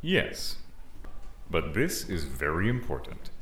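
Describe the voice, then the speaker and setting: A man voicing a gentle, earnest alien warden in a fiction podcast drama, calm and coaxing. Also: sits low, takes it slowly, roomy sound, a little hiss or hum, exaggerated voice. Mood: gently coaxing.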